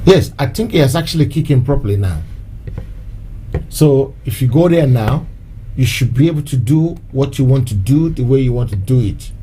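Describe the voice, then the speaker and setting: Man, dark mellow voice, live-stream host, faint background hiss. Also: medium pitch, animated, moderate pace. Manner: repetitive, thankful, mixing in short Yoruba phrases. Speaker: host of an online activist show. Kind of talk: casual live-stream address.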